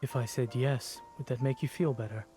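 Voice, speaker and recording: Actor as reserved male physician for romance game, terse, deadpan, mid pitch, music behind. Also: a little fast, lightly acted. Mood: composed. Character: cool, dry-witted male doctor, reserved and teasing. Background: background music.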